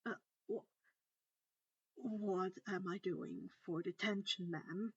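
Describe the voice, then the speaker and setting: A woman's voice: lowish voice, takes it slowly, slightly rough, dry recording, muffled recording, heavily acted. A woman voicing a polite, anxious but brave teenage boy wizard in a storybook, hesitant and understated. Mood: nervous.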